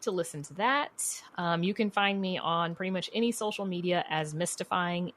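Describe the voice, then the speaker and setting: A woman's voice: fairly low pitch, a bit bright. A woman speaking as a podcast guest in casual interview talk, candid and encouraging, with plenty of "you know". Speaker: podcast guest, writer and producer.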